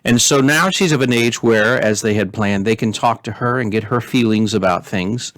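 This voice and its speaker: A man reading a story aloud in an expressive, measured way, as a pastor hosting storytime. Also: on the low side. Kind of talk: storybook reading with informal recap.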